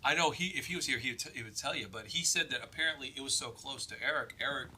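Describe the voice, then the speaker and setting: A man's voice: medium pitch, racing, sharp tone, slightly rough, poor audio. A man co-hosting a casual chat, jumping in to tell a story and repeating his phrases, with other voices around him. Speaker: show co-host.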